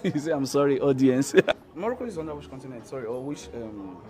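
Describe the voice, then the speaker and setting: A man's voice: mid-range voice, fast, over music. A young Nigerian man with a handheld mic, interviewing people outdoors in a playful, teasing comic style. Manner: playful, teasing, animated questioning. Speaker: street interviewer. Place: outdoor terrace.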